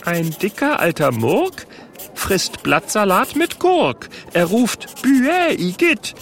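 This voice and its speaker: A man's voice: high-pitched, sing-song, takes it slowly, a bit bright, a little hiss or hum, voice pushed up, exaggerated voice. A man voicing a cheeky, fearless little boy dragon in a children's story, with a pert, childlike delivery.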